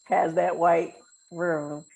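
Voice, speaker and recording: An American woman hosts a relaxed podcast chat. She reacts to her guest with affirming replies and playful teasing, keeping the exchange casual. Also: lowish voice, drawn-out words, creaky, slight background noise, rough recording. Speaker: podcast host.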